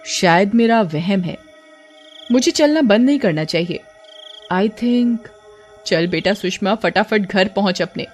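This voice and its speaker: A woman's voice: mid-range voice, expressive, takes it slowly, a little mellow, soft background music. A woman voicing a nervous, kind-hearted young married woman in a horror storybook tale, anxious and muttering. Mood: nervous, self-scolding.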